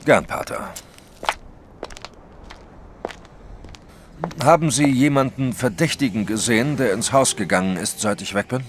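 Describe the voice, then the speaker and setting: An actor playing a wary, plain-spoken private detective in a German audio drama, firm and sceptical. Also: male voice, medium pitch, brisk, slight background noise, lightly acted. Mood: wry, casual.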